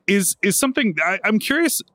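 A man's voice: high-pitched, animated, brisk, bright, forceful tone, no echo, clean studio sound. A man in his thirties hosting a casual conversation, talking candidly with lots of fillers. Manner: chatty, filler-heavy, candid.

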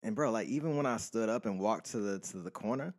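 A man co-hosting a podcast, talking in a quiet, laid-back way with a lot of fillers. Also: on the low side, fast. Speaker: podcast co-host. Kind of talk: casual chat.

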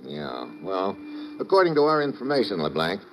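An actor playing a wry, hard-boiled American secret agent in old radio drama style, narrating and trading clipped wisecracks. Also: male voice, low-pitched, very animated, normal speed, a little hiss or hum. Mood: matter-of-fact. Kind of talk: 1950s radio drama acting and first-person narration. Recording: muffled old radio recording with music.

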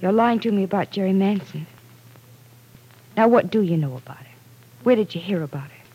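A woman's voice: mid-range voice, expressive, dark tone, husky, low-quality recording. An actress playing a direct, earnest woman in an old-time radio drama, questioning firmly and persistently. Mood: stern, reproachful. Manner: firm, measured, probing.

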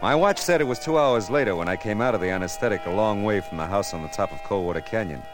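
An actor with an American accent playing a tough, wry private detective in vintage radio drama, speaking in a dry, clipped, sardonic way. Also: male voice, fairly low pitch, lively intonation, fast, over music, natural voice. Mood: world-weary narration. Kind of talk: 1940s-50s American radio detective acting.

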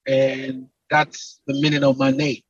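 Husky Nigerian man, dull close recording. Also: medium pitch, monotone, measured pace, dry recording. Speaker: entrepreneur and company CEO, podcast guest. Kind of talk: conversational interview, explaining at length.

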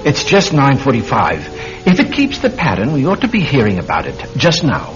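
A man's voice: huge pitch swings, normal speed, music in the background. An actor playing an eager, inquisitive young man, an amateur sleuth, probing hesitantly in a classic radio drama. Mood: curious. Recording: dull, rumbling, noisy old radio recording.

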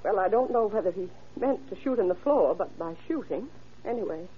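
A woman's voice: medium pitch, animated, a little fast, slightly rough. An actress playing an elderly, genteel mother who explains herself hesitantly and evasively in an old radio crime drama. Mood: evasive, uneasy. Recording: dull vintage radio recording, faint noise.

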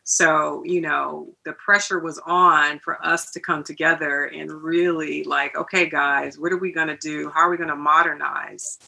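A woman's voice: low voice, flat delivery, normal speed, vocal fry, hardly any pauses, sharp tone, breathy edge, poor audio. A woman answering conversationally as a hair and makeup artist being interviewed about film work.

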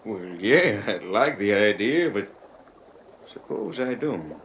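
An actor playing an earnest army major undercover as a gunfighter, telling his story gravely in an old-time radio drama. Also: fairly low pitch, expressive, slow, a bit bright, heavily acted. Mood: wary, bluffing. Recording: noisy vintage radio recording.

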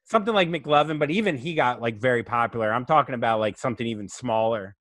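A man's voice: mid-range voice, fast, fairly bright tone, no room sound. A man chatting casually as a comedy podcast host, loose, rambling and jokey.

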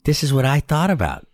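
A man's voice: fairly high pitch, no room sound, clean studio sound. A man in his sixties from the Boston area, a guest talking about his branding career in a loose, self-deprecating way, full of ums and restarts. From Boston area, USA. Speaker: guest, brand specialist and author. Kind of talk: casual conversational interview. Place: studio in San Antonio.

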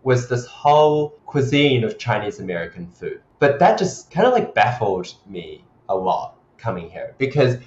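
A man's voice: medium pitch, big pitch swings, normal speed, few pauses, darkish tone. A man born in Hong Kong and raised in New Zealand, with a New Zealand accent, talking reflectively as a podcast guest and often checking in with "right?". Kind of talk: casual interview chat. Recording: echoey, dull lo-fi sound.

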